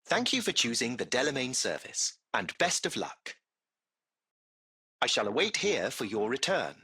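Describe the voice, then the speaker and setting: An actor playing a composed, courteous artificial intelligence that drives a luxury taxi, speaking in formal, polite phrases for a video game. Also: male voice, mid-range voice, creaky, bright, forceful tone, husky, full character voice. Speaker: AI chauffeur. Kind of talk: scripted video game dialogue.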